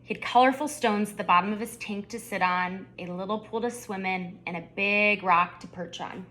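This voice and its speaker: A woman author narrating her children's book at storytime, with expressive, deliberate phrasing for young listeners. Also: medium pitch. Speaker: children's author reading aloud.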